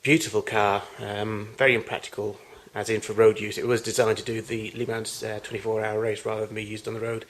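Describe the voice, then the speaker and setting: A man speaks in a low register with very little rise or fall in pitch. He gives an unscripted commentary, like a collector walking viewers through his things at home. The amateur recording carries a light layer of noise. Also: flat delivery, fairly quick, few pauses. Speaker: collector giving a tour of his machines. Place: home garage with loft. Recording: noisy amateur camcorder audio.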